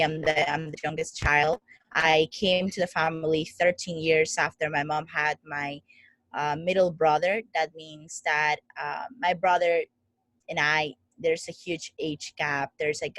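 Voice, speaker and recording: A woman's voice: low voice, level tone, unhurried, no echo, rough recording. A young woman from the Dominican Republic, an interview guest telling her life story in an earnest, grateful way. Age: young adult. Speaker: guest, student founder.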